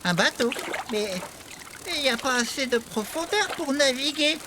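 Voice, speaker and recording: A man's voice: very high voice, normal speed, noisy background. A man voicing a clumsy, cheerful, exclamatory talking beaver in a children's cartoon. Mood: puzzled. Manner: bumbling, exclamatory. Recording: loud music underneath.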